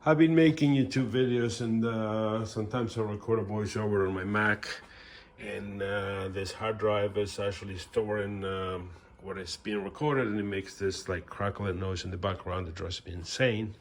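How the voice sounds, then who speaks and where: A man's voice: on the low side, steady tone, normal speed, slight background noise. A man with a Mexican accent casually unboxing and reviewing a microphone in his woodworking shop, rambling with dry self-mocking humor and many fillers. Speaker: woodworking channel host.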